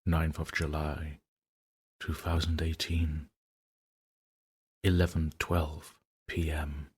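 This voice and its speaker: A man's voice: rumbling low, level tone, takes it slowly, husky, full character voice. A man playing an exhausted, guilt-ridden young man who is falling apart, reading his journal in a trembling, stammering, pleading voice. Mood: resigned, desperate. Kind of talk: horror story narration, journal reading.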